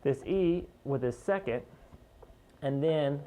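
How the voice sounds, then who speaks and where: A man teaching guitar in an informal, conversational way, explaining step by step and pausing as he points out each note. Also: medium pitch, dark tone, a little hiss or hum, poor audio. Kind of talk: informal instructional lesson, demonstrating step by step.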